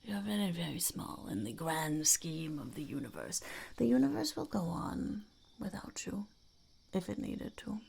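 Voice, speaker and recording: Woman playing a creaky, whispering goblin lady. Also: on the low side, a little slow, full character voice. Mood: blunt, cynical. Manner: deadpan, bluntly cynical, speaks in soft half-whispers. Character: blunt, mischievous female goblin fortune cookie seller. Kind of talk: whispered ASMR roleplay. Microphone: close ASMR microphone.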